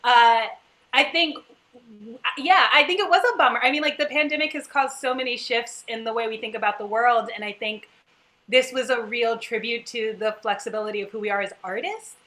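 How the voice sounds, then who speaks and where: A woman's voice: on the high side, brisk, bright, forceful tone. A woman actor speaking casually and effusively on an online panel, repeating words for emphasis. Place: online video call.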